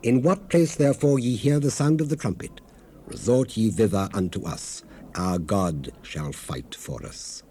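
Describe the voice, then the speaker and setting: A man's voice: lowish voice, measured pace, mellow, natural voice. An actor playing an earnest, devout leader recounting his people's struggle in a solemn, oratorical scripture dramatization. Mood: rousing, commanding. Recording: hissy 1970s cassette rip.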